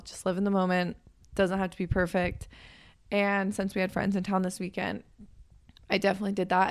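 A hoarse young woman in her twenties hosting a podcast in a chatty, upbeat way, her voice raspy from just waking up. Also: mid-range voice. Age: 20s. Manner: chatty and upbeat, with frequent fillers. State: hoarse from waking up and a weekend of talking. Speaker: podcast host and personal trainer. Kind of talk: casual chat.

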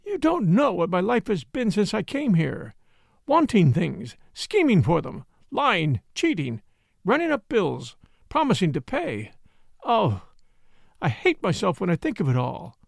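A man's voice: sits high, huge pitch swings, measured pace, vocal fry, long pauses, dry recording, clean studio sound, pitched above the performer's own voice, full character voice. A man voicing a proud, troubled young upper-class woman in an audiobook mystery, speaking intensely in short clipped phrases. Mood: bitter, despairing.

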